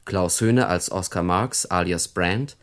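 A male radio announcer with a low voice reads scripted credits. His delivery is formal and measured.

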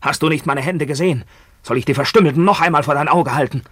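A man's voice: fast talker, a bit bright, dry recording, clean studio sound. A man playing a bitter, maimed Roman back from captivity, declaiming with grim command in a radio drama. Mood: urgent, commanding. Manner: declamatory, grim, swelling from low murmurs to fierce cries. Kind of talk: theatrical German radio drama acting.

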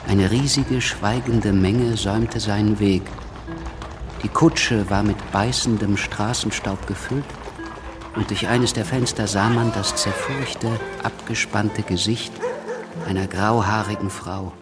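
An actor playing an earnest young revolutionary journalist in a historical radio drama. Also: male voice, fairly low pitch, fairly even intonation, slow, music in the background. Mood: solemn reporting. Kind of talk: radio drama acting and recitation.

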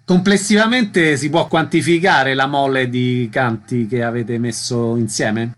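A man's voice: medium pitch, unhurried. Male radio presenter, mid-range voice, concise and formal interviewing style.

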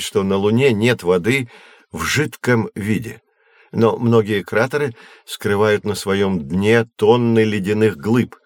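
An actor plays a knowledgeable man guiding children through astronomy in an audio drama. His voice sits fairly low and is recorded very dry. He explains things patiently, in measured, reassuring phrases. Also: dry recording, natural voice. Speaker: teacher-guide in educational audio drama.

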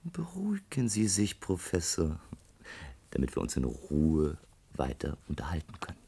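An actor playing a bitter, gifted young doctoral student in a German radio play, sarcastic and accusing, with deliberate delivery. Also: male voice, low voice, wildly swooping pitch, gravelly, warm tone, husky, exaggerated voice. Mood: coldly triumphant.

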